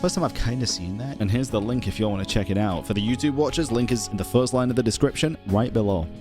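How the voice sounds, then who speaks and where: A man's voice: medium pitch, fast talker, over music. A man reacting casually to a video, laid-back and slangy, swearing a bit.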